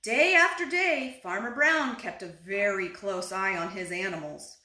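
A woman in her thirties reading a children's picture book aloud expressively, deliberately phrased, now and then putting questions to young listeners. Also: medium pitch, sharp tone. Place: living room indoors, seated on a couch. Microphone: camera a short distance away. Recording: roomy indoor echo.

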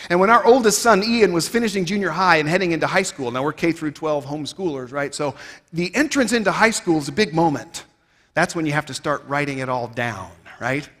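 Emphatic man giving a keynote in a big hall. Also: on the high side. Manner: emphatic, rhetorical, swinging between loud and quiet. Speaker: keynote speaker. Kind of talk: conference keynote speech. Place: stage of a large conference hall. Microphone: headset mic. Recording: reverberant large hall.

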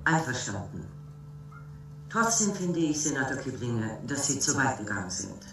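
An actress playing a disapproving religious dignitary on a commission in a science fiction radio drama. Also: female voice, a bass voice, sing-song, normal speed, heavily acted. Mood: reproachful. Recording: very echoey, distant, crowd behind.

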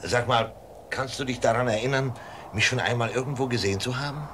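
An actor playing a weary, earnest and protective blind traveller in a German audio drama. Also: male voice, medium pitch, a little fast, a bit bright, slight background noise, natural voice. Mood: probing, insistent.

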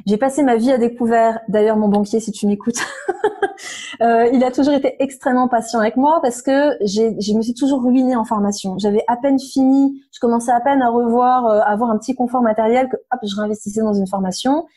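A woman in her thirties speaks as an interview guest, her voice slightly hoarse yet mellow and warm. She sits fairly high in pitch and talks frankly and animatedly, full of little fillers and restarts. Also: steady tone, a little fast. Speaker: interview guest, nutrition therapist. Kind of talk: casual conversational interview.

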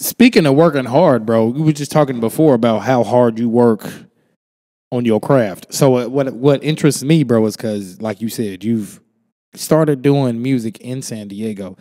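A man hosts a podcast with a dark, mellow voice. He jokes and teases in loose slang, recorded on a desk mic in a small studio room. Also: medium pitch, normal speed. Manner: joking, teasing, slangy. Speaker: podcast host. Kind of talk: casual podcast chat. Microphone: desk mic on stand.

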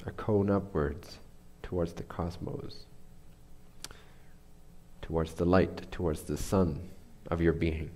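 Deep-voiced man guiding a meditation. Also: steady tone, warm tone. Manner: unhurried, searching for words. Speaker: meditation teacher. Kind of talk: guided meditation instruction.

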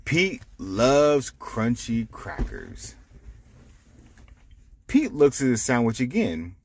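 A man reading a picture book aloud to children, explaining it simply with animated asides. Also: medium pitch, slow, a little hiss or hum. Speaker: storytime reader.

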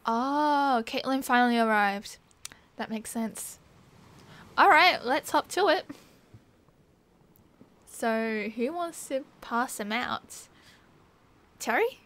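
A woman's voice: fairly high pitch, slow, long pauses, a bit bright. A young woman with an Australian accent playing a cheerful, teasing partygoer in a casual ASMR roleplay, chatting in playful slang. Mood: cheerful, excited. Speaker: roleplay voice actor. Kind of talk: casual ASMR roleplay, one side of a chat.

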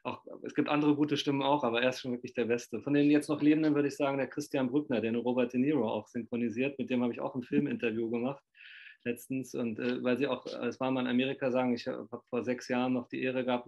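A man's voice: medium pitch, muffled recording. A man in his fifties interviewing over a video call from a small room, talking in a rambling, effusive way full of fillers. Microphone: laptop webcam on video call.

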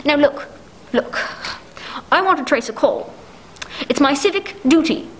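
A nervous, indignant housewife in an old-fashioned radio play , acted by a woman. Her voice is very high and swings about as she insists and stammers, with faint noise behind it. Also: expressive, a little slow, rough recording. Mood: indignant, frantic. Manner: flustered, imperious, stammering insistence. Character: nervous, demanding, indignant wealthy housewife alone at night. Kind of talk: 1940s radio play acting. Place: dark stage, masked, speaking into prop telephone.

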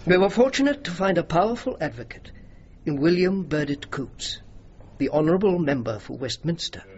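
An actor playing an earnest, dutiful British general with an English accent and a measured, formal, diplomatic way of speaking in a radio drama. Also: male voice, a little high, expressive, close to an everyday voice. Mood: reflective. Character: earnest, dutiful British general defending his war graves commission. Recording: dull, noisy radio drama recording.

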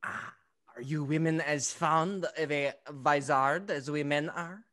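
A man playing an affected, excitable, French-mad fop in a stage comedy, effusive and giggly. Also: a little high, measured pace. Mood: playful, effusive. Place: indoors at home on video call.

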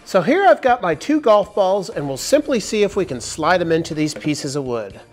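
Upbeat guy in his 40s walking through a woodworking build in his shop, lively up-and-down voice, faint music under it. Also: high voice, moderate pace. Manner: upbeat, step-by-step explaining. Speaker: woodworking channel host. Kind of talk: workshop tutorial narration. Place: large wooden workshop, indoors.

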